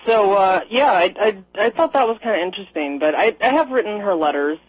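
A woman's voice: medium pitch, expressive, fairly quick, husky. A woman from Minnesota calling in to a radio show, rambling and laughing with a sarcastic edge. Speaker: caller. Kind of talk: call-in radio chat. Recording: dry, muffled phone-in audio.

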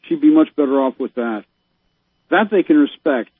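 A man calls into a show and speaks in an exasperated, emphatic way, sighing as he goes. His voice sits fairly high and sounds husky. It comes through a tinny, dry phone line. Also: moderate pace, dry recording. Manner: exasperated, emphatic, given to sighs. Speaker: caller. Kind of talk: casual phone chat.

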